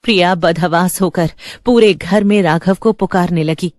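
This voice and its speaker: A woman's voice: fairly low pitch, normal speed, darkish tone, dry recording, clean studio sound. A woman narrator reading a scripted horror story in a dramatic storytelling style.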